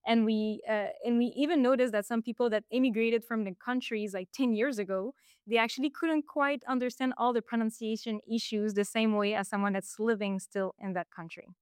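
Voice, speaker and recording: A woman with a Quebec French accent explains her work in English, her first language being French. Her voice is smooth and clear, recorded dry and close. She talks in a casual interview way, pausing on fillers and restarting phrases. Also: on the high side, fairly even intonation, dry recording. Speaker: guest.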